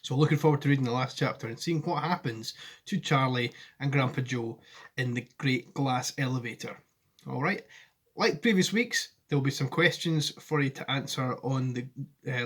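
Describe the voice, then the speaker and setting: A man's voice: medium pitch, breathy edge. A middle-aged man, a primary school teacher, narrating a storybook for children. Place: indoors, living room at home.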